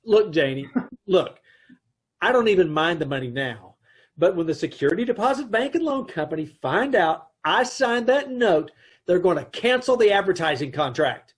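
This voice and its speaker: Man as exasperated husband-narrator, husky, lively delivery; 1930s radio comedy. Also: high-pitched, moderate pace. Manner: exasperated, wry. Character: put-upon husband, adman Mister Ace. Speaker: narrator of the story. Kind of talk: amateur old-time radio play reading.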